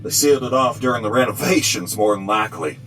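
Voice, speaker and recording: A man's voice: on the low side, lively intonation, fast talker, fairly bright tone, faint music behind. A man playing an inquisitive, cautious tunnel explorer in an audio drama. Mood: cautious, musing.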